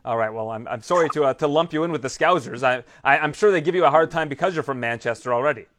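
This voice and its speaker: A man's voice: a little high, quick pace, a bit bright. A man interviewing fighters, upbeat and inquisitive, often restarting his questions. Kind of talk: sports interview.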